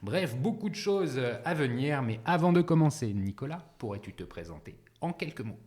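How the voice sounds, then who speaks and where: A man's voice: medium pitch, sing-song, normal speed, a little mellow. A man hosting an interview podcast, speaking to the listener and guest in an informal way. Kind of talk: podcast introduction and conversational interview.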